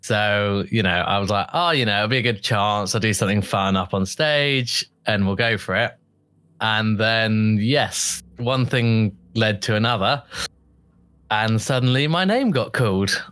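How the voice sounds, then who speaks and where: A man's voice: fairly low pitch, a little slow, a bit bright, dry recording. A young man telling his story as a podcast guest in a casual, chatty way, often laughing and quoting himself.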